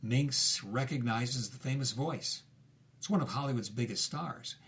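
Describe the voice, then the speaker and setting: A man's voice: medium pitch, a little slow, a bit bright. Guy with a mid-range voice narrating a story, scripted podcast thing.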